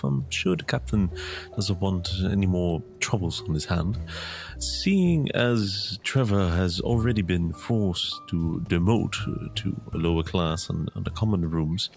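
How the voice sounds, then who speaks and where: A courteous, diplomatic holy man in a fantasy tabletop game, played by a man. His voice is low and his speech formal and deferential, over music. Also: gravelly, a little mellow. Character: courteous, diplomatic male spiritual mediator.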